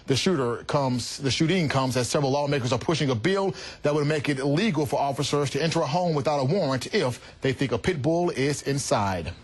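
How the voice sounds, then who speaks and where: Man, morning news anchor, husky, fairly high voice, scripted read.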